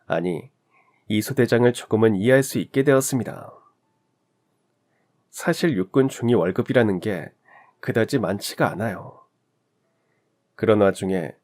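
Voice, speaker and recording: Man, young adult, story narrator, conversational, talks to the listener, middle pitch. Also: darkish tone. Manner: conversational, addresses the listener directly.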